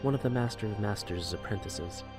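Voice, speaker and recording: A man's voice: lowish voice, a little fast, lightly acted. A man voicing a cool, curt, mysterious character in a video game fan dub, understated and dismissive. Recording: music behind the voice.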